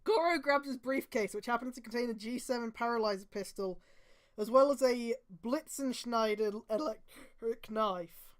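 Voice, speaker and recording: A woman's voice: a little high, normal speed. A woman streamer reading fan fiction aloud, with sweary, exasperated commentary and emphatic delivery. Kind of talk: mocking read-aloud with casual commentary.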